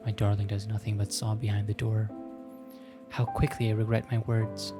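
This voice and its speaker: A man's voice: fairly low pitch, little pitch movement, moderate pace, loud music behind the voice. A man reading a visual novel aloud as host, with theatrical narration and joking asides.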